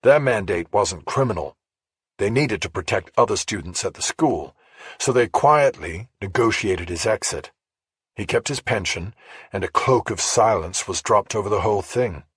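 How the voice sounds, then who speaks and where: Man voicing a husky, measured woman journalist. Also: lowish voice, expressive, no echo. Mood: matter-of-fact. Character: Emily, measured, matter-of-fact female investigative journalist. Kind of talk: audiobook character dialogue.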